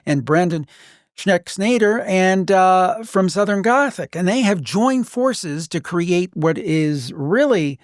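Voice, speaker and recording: A man's voice: high voice, lively intonation, slow pace, dry recording, studio quality. A man hosting a podcast, speaking conversationally as an interviewer who shares asides of his own. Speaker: podcast host.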